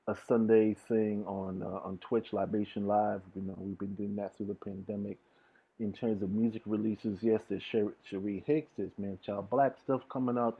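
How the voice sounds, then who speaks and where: Male podcast guest, slangy and sincere, husky voice, dull video-call audio. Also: on the low side. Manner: earnest, informal slangy talk. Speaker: interview guest, DJ and music producer. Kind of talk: casual chat.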